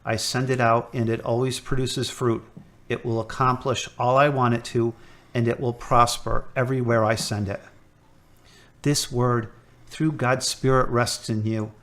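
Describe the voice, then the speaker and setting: An actor playing a hospital chaplain who gives calm, measured counsel in an amateur audio drama. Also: male voice, medium pitch. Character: gentle middle-aged male priest.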